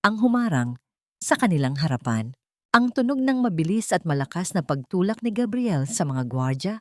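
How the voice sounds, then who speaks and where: A woman's voice: sits low, a little mellow, no room sound, studio quality. A woman narrating a scripted dramatic story in a solemn, suspenseful manner.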